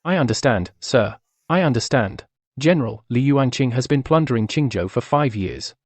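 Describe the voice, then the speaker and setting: A man's voice: mid-range voice, animated, moderate pace, no room sound, natural voice. A man playing an earnest, deferential military aide in a dubbed comic drama, reporting formally to his superior.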